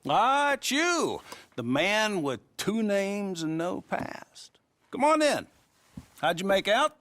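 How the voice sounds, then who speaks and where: An actor playing a smug small-town banker in an Old West video game, with an American accent and a wry, teasing, patronizing manner. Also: male voice, sits high, big pitch swings, takes it slowly, fairly bright tone, heavily acted. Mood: wry, welcoming. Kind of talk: video game western acting.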